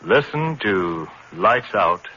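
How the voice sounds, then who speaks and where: A man's voice: fairly low pitch, very animated, slow, bright, forceful tone, a little hiss or hum. A man working as a radio announcer, reading a script with formal, dramatic delivery in 1940s style. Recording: muffled old radio recording.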